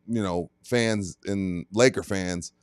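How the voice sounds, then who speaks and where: A man's voice: low-pitched, steady tone, takes it slowly, clear, resonant tone, no echo. Blunt man in dry podcast chat. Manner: blunt, profane, mocks players in quoted voices. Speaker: sports talk podcast co-host. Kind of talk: casual sports chat.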